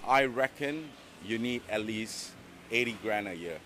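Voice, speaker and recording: A man's voice: fairly low pitch, lively intonation, unhurried, bright, forceful tone. Guy rambling on about prices, lots of you knows, faint noise behind. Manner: rambling, conversational, many fillers. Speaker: street interviewee. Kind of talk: street interview answers.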